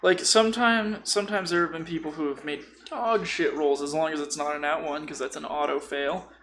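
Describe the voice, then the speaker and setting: A man with a fairly high voice and a fry-laden creak chats informally as a game master on a podcast. He explains things by slipping into example dialogue. There is some noise behind him. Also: steady tone, brisk, a bit bright. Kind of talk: casual chat about tabletop role-playing.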